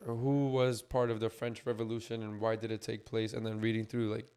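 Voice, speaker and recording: Hoarse man with a flat delivery. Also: fairly low pitch, fairly quick. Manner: laid-back, explains with many fillers. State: hoarse, recovering lost voice. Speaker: podcast co-host. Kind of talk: casual podcast chat.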